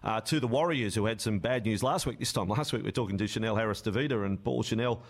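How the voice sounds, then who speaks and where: A man hosting a sports radio interview, asking deliberate, emphatic questions. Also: lowish voice.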